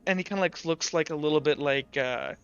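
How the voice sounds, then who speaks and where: A woman's voice: deep voice, brisk, clean tone, muffled recording. A woman improvising narration as game master of a tabletop game, in a casual, informal way. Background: music.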